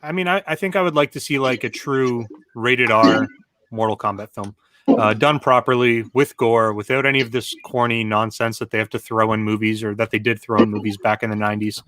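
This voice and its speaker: Bright, crisp-toned man in casual chat. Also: fairly low pitch, fairly even intonation, brisk, dry recording. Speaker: co-host of a gaming talk show.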